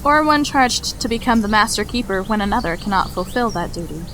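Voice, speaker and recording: A woman playing an older ancestral mage in a fantasy audio drama, speaking in a measured, formal and solemn way. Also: mid-range voice, expressive, a bit bright, soft background music, close to an everyday voice. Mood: grave, knowing.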